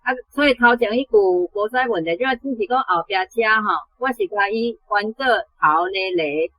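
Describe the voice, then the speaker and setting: Female language teacher, bright but husky, dry sound, explaining off the cuff in a Taiwanese accent. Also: medium pitch, moderate pace, dry recording, rough recording. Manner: explanatory, corrective. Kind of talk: unscripted explanation.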